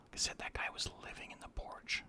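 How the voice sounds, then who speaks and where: A man's voice: quick pace, pushed and bright. A middle-aged man whispering casual, rambling stories about his past, swearing now and then. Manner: whispering throughout. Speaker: storyteller.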